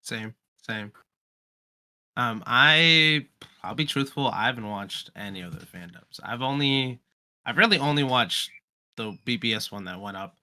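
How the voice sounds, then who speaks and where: A man's voice: medium pitch, expressive, unhurried, sharp tone. A young man chatting casually as a podcast guest, with a slangy, enthusiastic way of talking. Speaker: podcast guest, amateur voice actor. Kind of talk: casual chat.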